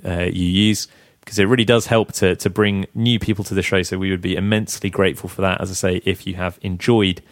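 A young man hosting a casual podcast, his voice croaky, chatting, teasing and laughing often. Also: sits low, no echo, studio quality. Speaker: podcast host. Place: flat.

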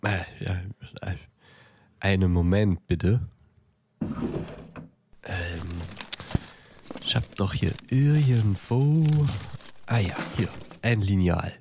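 A man's voice: low-pitched, very slow, pauses often, background noise, exaggerated voice. A man playing an obliging, flustered post office clerk who stammers hesitantly in bemusement in an amateur audio drama.

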